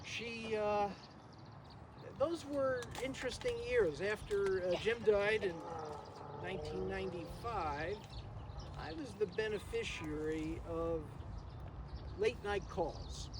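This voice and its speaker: Older male, high-pitched, rambling memorial reminiscence with many pauses, captured outdoors on a distant mic amid clear noise. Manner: halting, rambling delivery with frequent pauses. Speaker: speaker giving a memorial tribute. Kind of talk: informal reminiscing speech to a gathering. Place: outdoors in a garden. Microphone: distant camera microphone, no working PA. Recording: noisy outdoor recording, distant microphone.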